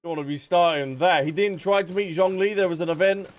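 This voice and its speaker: A man's voice: sits high, steady tone, fast talker. A man giving casual, chatty livestream commentary over a video game, with jokey asides. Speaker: game streamer. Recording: game music behind voice.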